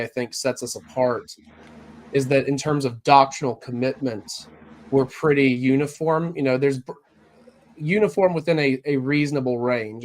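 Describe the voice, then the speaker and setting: A man who is a priest, talking earnestly in a casual interview, his voice raspy as he recovers from bronchitis, with many fillers and restarts. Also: mid-range voice. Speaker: Anglican priest, guest.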